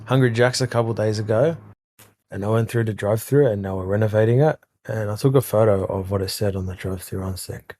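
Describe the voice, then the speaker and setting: A man's voice: lowish voice, quick pace, mellow, dry recording. A young man on a podcast, telling a blunt, sweary story full of slang. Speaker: podcast co-host. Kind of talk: casual chat.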